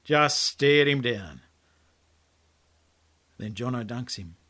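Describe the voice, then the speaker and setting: A man's voice: mid-range voice, lively intonation, takes it slowly, bright, forceful tone. An Australian man reading his own story as a colloquial, laconic first-person yarn. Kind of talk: storytelling monologue, first-person character narration.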